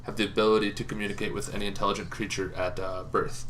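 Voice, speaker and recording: Lowish, bright-voiced man chatting casually. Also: quick pace, a little hiss or hum. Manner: relaxed, rambling, many ums and restarts. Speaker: tabletop game video host. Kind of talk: casual explainer talk.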